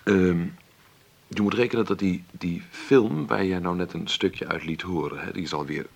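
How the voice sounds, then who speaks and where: An actor playing a wry, stubborn middle-aged film maker who talks haltingly and conversationally in a radio play interview. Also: male voice, low-pitched, creaky. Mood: musing, modest. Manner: conversational, halting, adds tag questions. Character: celebrated 53-year-old film maker, wry, stubborn, self-made. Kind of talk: radio drama played as an interview.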